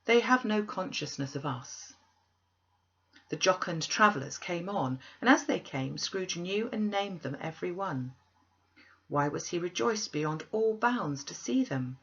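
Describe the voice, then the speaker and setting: A woman narrating a classic tale as a storyteller, with expressive, deliberate, dramatic phrasing. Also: fairly low pitch, slightly rough, highs cut off.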